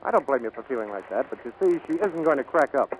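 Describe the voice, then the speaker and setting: An actor playing an earnest, steady American aircraft builder in a 1940s radio drama, heard on a vintage broadcast recording. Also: male voice, mid-range voice, quick pace, a little hiss or hum, lightly acted, rough recording. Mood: defiant, firm.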